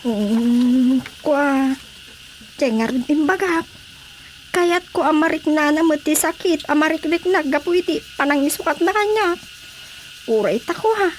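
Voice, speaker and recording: An actress playing a distressed wife in a radio drama comedy, hesitant and whimpering, with a Filipino accent. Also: female voice, high voice, unhurried, poor audio. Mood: hesitant, embarrassed. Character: distressed wife seeking help, hesitant and tearful. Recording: faint background noise.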